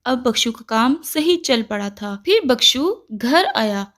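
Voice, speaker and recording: A woman with a high voice narrates a moral story for children. Her delivery is scripted and measured, with the instructive manner of a storyteller. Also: expressive, fairly bright tone.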